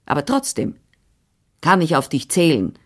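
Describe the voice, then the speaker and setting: A woman's voice: low voice, very animated, normal speed. A woman reading the part of a stout older housekeeper who is firm, chatty and managing. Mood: bossy.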